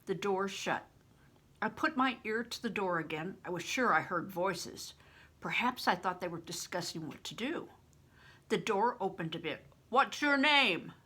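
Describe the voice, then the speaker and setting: An older woman reads a storybook aloud in a breathy, bright voice. Her delivery rises and falls with lively pitch as she narrates the chapters. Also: moderate pace. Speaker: reader. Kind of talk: reading a novel aloud.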